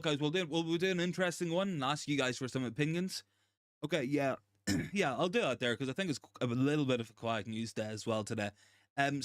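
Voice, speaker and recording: A young man with a hoarse, gravelly voice, still worn from a virus. He hosts a relaxed livestream, stumbling into restarts and repeating phrases between ums. His voice sits at an ordinary pitch and sounds dry and close. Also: no echo. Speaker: livestream host. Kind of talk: casual live chat reading comments.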